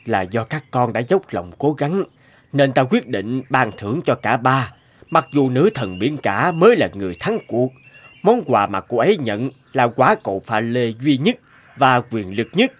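A man voicing a calm, solemn elderly master of magic in an animated fairy tale, measured and authoritative. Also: mid-range voice. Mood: benevolent.

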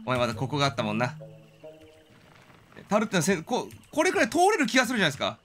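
A man's voice: on the high side, wildly swooping pitch, racing, a bit bright. A man casually commentating on a video game, reacting aloud and talking to the game as he plays. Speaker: streamer playing a video game. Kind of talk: casual game commentary with dramatic line readings.

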